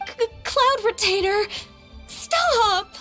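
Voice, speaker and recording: A woman voicing a gentle, earnest young female disciple in a video game, speaking politely and formally. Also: very high voice, animated, drawn-out words, soft background music, full character voice. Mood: embarrassed.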